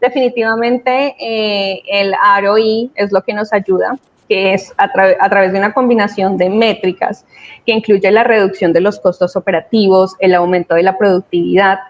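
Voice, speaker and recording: A woman's voice: mid-range voice, steady tone, moderate pace. Woman on a dull, lo-fi call. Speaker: software company representative. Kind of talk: explanatory business talk. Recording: dull, lo-fi online call audio.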